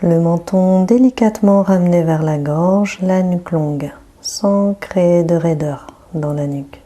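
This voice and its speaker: Very slow, mellow, breathy woman guiding relaxation. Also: on the low side. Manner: calm, gentle, unhurried instruction with long pauses. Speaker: yoga nidra guide. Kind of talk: guided relaxation meditation.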